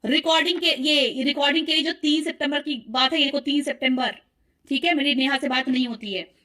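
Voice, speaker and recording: A woman's voice: high-pitched, fairly even intonation, a little fast, bright, forceful tone. A woman vlogger giving heated commentary to viewers, accusatory and insistent as she addresses them directly. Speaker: vlogger presenting call recordings.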